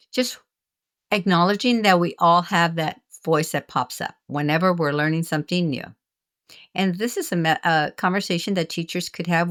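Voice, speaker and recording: A woman's voice: fairly low pitch, fairly bright tone. An older woman from the United States, a retired teacher, speaks earnestly and reflectively in an interview, often restarting her phrases. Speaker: guest, author and retired teacher. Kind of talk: candid interview conversation.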